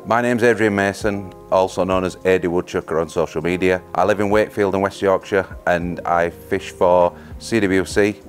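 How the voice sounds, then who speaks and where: A man with a very deep voice speaks frankly about his plans in a casual interview. It is recorded close on a lapel mic indoors. Soft music sits faintly behind him. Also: steady tone, normal speed, hardly any pauses. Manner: frank, plain-spoken. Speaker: angler interviewee. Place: indoor room.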